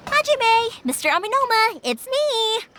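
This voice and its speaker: A woman's voice: very high voice, very animated, measured pace, a bit bright, slight background noise, full character voice. A woman playing a bubbly, big-hearted young fireworks maker in a video game, chatty, eager and informal.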